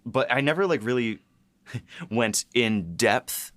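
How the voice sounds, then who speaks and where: A man's voice: medium pitch, lively intonation, slow pace, fairly bright tone, dry recording. A man talking casually and enthusiastically as a host and writer in a behind-the-scenes chat. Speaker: podcast host and episode writer.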